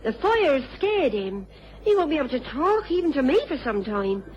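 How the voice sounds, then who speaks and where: A woman's voice: high-pitched, big pitch swings, moderate pace, darkish tone. A woman playing an outspoken, protective village inn woman in a radio drama. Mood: indignant. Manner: forthright, protective. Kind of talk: BBC radio drama acting. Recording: muffled old radio recording.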